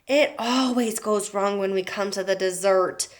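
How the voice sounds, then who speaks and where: A woman voicing an eccentric fussy candy maker, sighing, high pitch. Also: very animated, brisk, a bit bright. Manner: fretful, sighing, apologetic.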